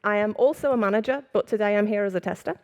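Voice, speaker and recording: Liverpool woman on stage at a conference, chatty, quick when excited, stage mic. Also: medium pitch. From England. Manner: fast when excited, laughs often, chatty. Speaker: conference speaker. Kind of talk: conference talk. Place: conference room with audience.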